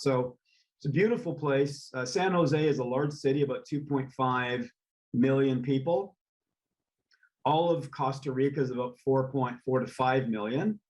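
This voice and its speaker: A man, a real estate developer, speaking as a guest in a casual interview, relaxed and rambling with frequent ums and uhs. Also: mid-range voice, poor audio. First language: English.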